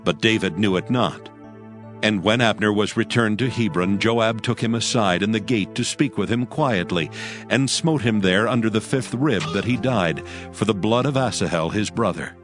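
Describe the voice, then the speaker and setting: A man narrating scripture from a script in a measured, solemn way. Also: fairly low pitch. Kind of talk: scripted dramatized Bible narration. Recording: music bed under the narration. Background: music.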